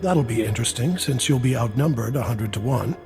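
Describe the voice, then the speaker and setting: An actor playing a fat, gruff, worried underworld boss with a wry, blunt manner in a video game. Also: male voice, mid-range voice, expressive, fairly quick, over music. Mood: businesslike, wry. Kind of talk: video game character dialogue.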